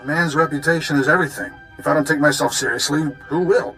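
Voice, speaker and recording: An actor playing a cocky, vengeful gangster rogue in a video game, with a smug, sneering manner. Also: male voice, a little high, fairly even intonation, quick pace, a bit bright, faint music behind, low-quality recording. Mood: taunting, bitter.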